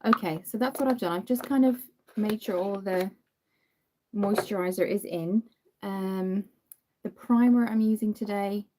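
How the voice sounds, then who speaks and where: A young woman with a Dublin accent talks in a relaxed, rambling way, stopping often to greet viewers by name. Her voice is mellow and warm. She sits at a medium, everyday pitch. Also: unhurried. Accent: Irish, Dublin. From Ireland. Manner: chatty, apologetic, greets viewers by name, frequent ums. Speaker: makeup livestream host. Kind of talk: casual live-stream chat while doing makeup.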